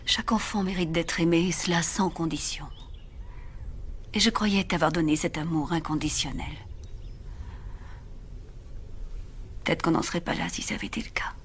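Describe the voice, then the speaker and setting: A woman playing a stern, controlled mother worn down by her rebellious son in a dubbed TV drama, with measured, formal, biting delivery that often turns hushed. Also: lowish voice, fairly even intonation, slight background noise, natural voice. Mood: hushed, sorrowful. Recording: music under the voice. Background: music.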